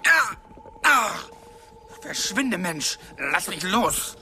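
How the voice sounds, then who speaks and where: A sinister male villain commands with cold politeness. He draws his words out very slowly and menacingly in radio play style, over clearly noisy effects. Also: high voice, sing-song, full character voice. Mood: enraged. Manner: menacing, drawn-out, coldly polite.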